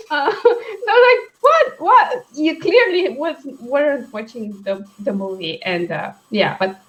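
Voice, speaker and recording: Quick, laughing woman. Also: high voice, rough recording. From Romania. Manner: lively, laughs often, quick asides. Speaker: co-host. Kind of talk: casual video chat.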